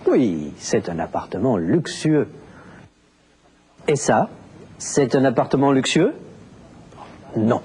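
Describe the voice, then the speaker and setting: A man narrating a language lesson, explaining deliberately with very clear enunciation. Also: mid-range voice, big pitch swings, warm tone. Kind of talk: scripted teaching narration.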